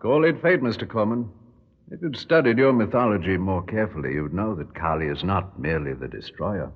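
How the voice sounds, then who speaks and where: An actor playing a composed, authoritative museum official, measured and knowing, in old radio drama style. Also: male voice, low-pitched, animated. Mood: grave, knowing. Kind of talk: 1960s radio drama acting. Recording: muffled, reverberant old radio recording.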